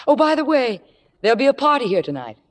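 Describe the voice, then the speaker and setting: An actress playing an ambitious, scheming young stage star in an old radio drama, speaking theatrically with a poised surface. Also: female voice, high-pitched, sing-song, fast talker, in a large hall. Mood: poised, eager. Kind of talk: 1950s radio drama acting.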